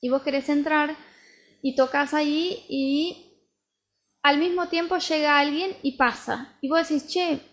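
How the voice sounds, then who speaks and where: A woman in her thirties from Brazil, native Portuguese speaker, co-hosting a casual podcast and explaining her points through lively everyday stories. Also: high voice, unhurried. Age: 30s. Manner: lively storytelling, explaining with examples. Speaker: podcast co-host, missionary.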